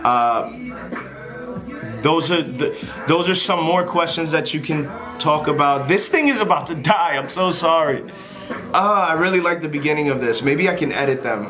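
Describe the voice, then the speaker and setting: A man's voice: a little high, normal speed. Rambling, informal male vlogger over music. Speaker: vlogger giving airline interview advice. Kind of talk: casual unscripted advice to camera.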